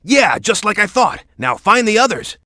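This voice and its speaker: A man's voice: high-pitched, big pitch swings, moderate pace, bright, forceful tone, dry recording, clean studio sound, heavily acted. A man playing a tough, hot-headed young hero in a video game, speaking in a brash, urgent, punchy way. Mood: focused, explaining. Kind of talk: video game character voice clips.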